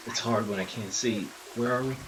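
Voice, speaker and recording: A man's voice: medium pitch, moderate pace, a crowd in the background, lightly acted, low-quality recording. A man voicing a dry, wry 1920s gangster who has been blinded, in an audio drama.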